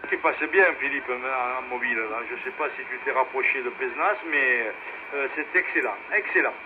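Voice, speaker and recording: A man running an amateur radio net in a casual, halting way, heard over a two-way radio. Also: mid-range voice. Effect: two-way radio transmission. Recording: noisy narrowband ham radio relay.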